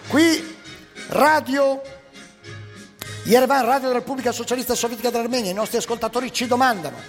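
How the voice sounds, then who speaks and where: A man's voice: very high-pitched, brisk, voice pushed up. A man voicing a pompous Soviet Armenian radio announcer in a declamatory, formulaic official manner, as satirical stage parody. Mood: ceremonious. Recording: long reverberant hall, music behind.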